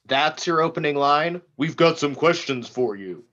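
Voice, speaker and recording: A man's voice: fairly high pitch, animated, moderate pace, a bit bright. A man playing an impatient, irritable, foul-mouthed boss in a script table read. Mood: scornful. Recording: dull lo-fi call audio.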